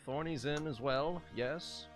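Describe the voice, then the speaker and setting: A man's voice: a little high, slow. A man casually chatting as game master and host of a tabletop game livestream. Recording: loud background music under the voice.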